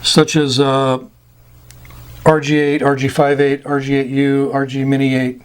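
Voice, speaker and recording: An American man giving an informal, plain-spoken explainer of technical terms, pausing often between short phrases. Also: medium pitch, monotone, clear, resonant tone. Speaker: instructor explaining CB radio terms. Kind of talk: informal explainer, glossary-style lesson.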